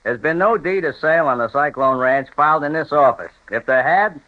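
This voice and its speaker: A man's voice: fairly high pitch, a little fast, lightly acted. A man playing a prim, emphatic records clerk. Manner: precise and emphatic. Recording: tinny old radio broadcast.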